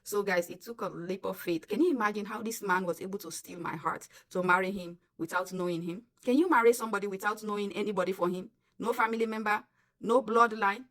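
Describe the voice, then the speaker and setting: A chatty Sierra Leonean woman telling a personal story straight to her followers. She talks in a casual, emphatic way and keeps turning to her viewers directly. Her voice sits at an ordinary middle pitch. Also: a little fast, fairly bright tone. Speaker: vlogger.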